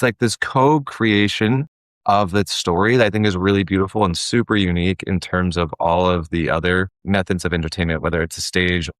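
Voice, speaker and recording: Very low-pitched man, dry sound. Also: no room sound. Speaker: podcast guest, audio drama director. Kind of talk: casual conversational interview answers.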